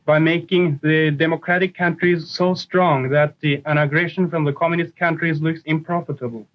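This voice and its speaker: A teenage boy from Norway speaks earnestly in a 1950s student debate. His delivery is very flat and his voice fairly high. The old broadcast sound is dull. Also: normal speed. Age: teens. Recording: muffled 1950s broadcast recording.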